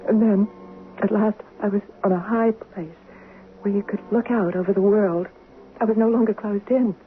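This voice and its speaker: An actress playing a fragile, frightened wife in a 1970s radio drama, with halting, breathless, dramatic delivery on a muffled old recording. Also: female voice, medium pitch, steady tone, a little hiss or hum, close to an everyday voice. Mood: fearful, tense. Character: frightened wife recounting a nightmare, soft and fragile.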